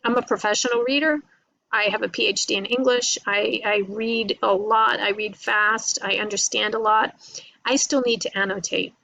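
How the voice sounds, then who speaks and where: A woman's voice: medium pitch, fairly even intonation, normal speed, creaky, bright, forceful tone, no room sound, rough recording. A woman lecturing informally to college students, explaining in a conversational way, repeating points and often checking in with "right?" and "you know".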